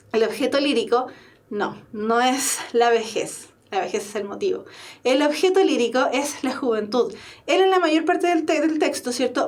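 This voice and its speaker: A young woman teaching a literature class, explaining step by step and often checking in with her students. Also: high-pitched. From Chile. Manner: explanatory, asks rhetorical "¿cierto?" often. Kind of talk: online exam-prep class.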